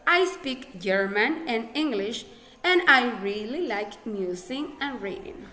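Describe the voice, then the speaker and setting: A woman's voice: on the high side, lively intonation, slow pace, bright, forceful tone, exaggerated voice. A woman voicing a friendly young female student introducing herself, reading carefully in an Ecuadorian Spanish accent. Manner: plain, careful reading aloud.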